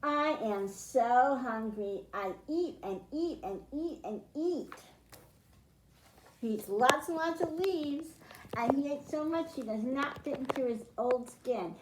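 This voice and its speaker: Female storytime host, higher-pitched, teaching small children in simple terms; echoing room. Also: lively intonation, slow, background noise. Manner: simple explanations addressed to children, with questions to them. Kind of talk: children's storytime read-aloud and science lesson. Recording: roomy, echoing indoor sound.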